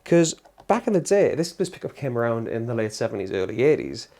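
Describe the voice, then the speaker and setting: A man's voice: medium pitch, big pitch swings, fairly quick, dark tone. Chatty man with a worn-out voice. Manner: enthusiastic, chatty, laughs often. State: losing his voice. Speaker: guitar channel host. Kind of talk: casual demo talk to camera.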